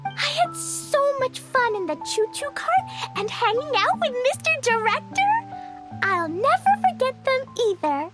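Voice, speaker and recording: A woman voicing an innocent, cheerful little girl full of wonder, with a childlike, drawn-out delivery in a video game. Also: very high voice, sing-song, heavily acted. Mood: sincere. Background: music.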